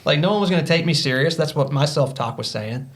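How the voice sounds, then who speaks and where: A man's voice: a little high, animated, fast. Guy in his 30s on a podcast, earnest motivational talk, lots of you-knows. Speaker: podcast guest. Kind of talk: casual podcast conversation.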